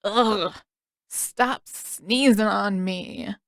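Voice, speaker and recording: A woman's voice: medium pitch, drawn-out words, dry recording, studio quality. A woman voicing a feverish, delirious teenage boy in a groggy, grumbling way for an audio story. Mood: groggy, grumpy.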